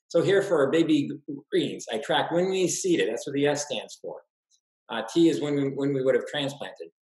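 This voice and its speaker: Man lecturing in an echoey room. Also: medium pitch. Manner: practical, steady, many "uh" fillers and restarts. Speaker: farmer giving a webinar talk. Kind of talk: slide presentation lecture. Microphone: webcam on a video call. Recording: roomy echoey webcam audio.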